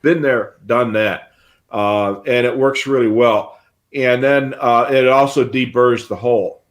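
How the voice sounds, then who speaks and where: A man's voice: mid-range voice, fairly even intonation, a little slow. A middle-aged man with an American accent co-hosting a livestream, explaining things in a folksy, conversational way. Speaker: co-host of a radio scanner show. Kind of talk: casual explanatory talk on a livestream. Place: indoor home radio room on a video call.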